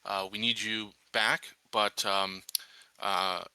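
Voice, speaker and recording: Male roleplayer, lowish pitch with a strikingly bright edge, hesitant off-the-cuff delivery, casual. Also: little pitch movement, slow pace, clean tone, dry recording, rough recording. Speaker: player in a tabletop roleplaying game.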